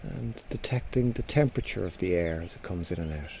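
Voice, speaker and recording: Mellow-voiced man guiding meditation. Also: deep voice, animated, creaky, a little hiss or hum. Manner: gentle, unhurried instruction with frequent pauses. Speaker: mindfulness meditation guide. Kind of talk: guided body scan meditation. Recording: faint outdoor nature sounds behind. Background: birds and nature sounds.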